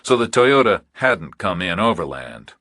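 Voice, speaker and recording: Deep, husky male thriller audiobook narrator. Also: very animated, fairly bright tone, no room sound, clean studio sound. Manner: measured, clipped, dramatic phrasing.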